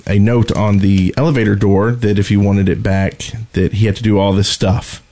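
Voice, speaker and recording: A man co-hosting a podcast, chatting casually about games and life in a halting, rambling, informal way. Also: on the low side, little pitch movement, mellow. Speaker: podcast co-host. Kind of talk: casual gaming podcast chat.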